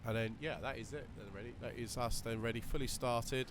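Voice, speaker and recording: A man with a slightly croaky voice, casually talking through a flight simulator session while thinking aloud with lots of fillers. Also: medium pitch, fairly even intonation, a little fast. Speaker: flight sim video creator. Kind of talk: relaxed running commentary while flying a simulator. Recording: voice over loud simulator cockpit noise.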